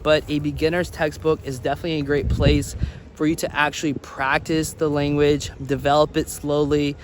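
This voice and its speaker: A man with a slightly high voice talks casually to his viewers, giving study advice like a vlogger. He repeats words to drive points home. There is faint outdoor traffic noise behind him. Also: flat delivery, moderate pace. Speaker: language-learning vlogger.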